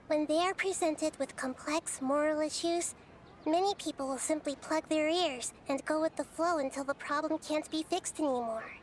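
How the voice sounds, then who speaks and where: A woman voicing a small, wise, gentle girl deity in a video game, speaking in a measured, thoughtful way. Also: sits high, slight background noise.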